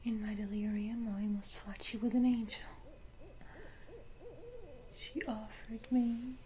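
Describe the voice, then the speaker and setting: A woman playing a sinister, wounded yet condescending female vampire in a whispered ASMR roleplay, speaking deliberately with theatrical pauses. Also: on the high side, fairly even intonation, mellow, natural voice. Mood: haunted, bitter. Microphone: close ASMR microphone.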